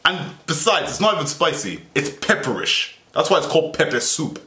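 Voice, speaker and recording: Excitable young man in an echoey bedroom. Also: a little high, brisk. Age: young adult. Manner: excitable, playful, teasing asides. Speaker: reaction vlogger. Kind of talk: casual reaction commentary. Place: small bedroom, indoors. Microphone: webcam at desk, earphones in. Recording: echoey room.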